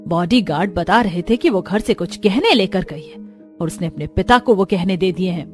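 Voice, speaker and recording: A woman's voice: on the low side, moderate pace, faint music behind, lightly acted. A woman playing an imperious, protective, wealthy mother-in-law in a story, with a commanding manner. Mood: indignant.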